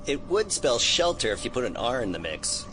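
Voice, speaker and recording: A man's voice: mid-range voice, very animated, moderate pace, over music, lightly acted. A man playing a wry, casual, geeky conspiracy hacker in an audio drama. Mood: casual, focused. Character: laid-back, geeky male conspiracy hacker.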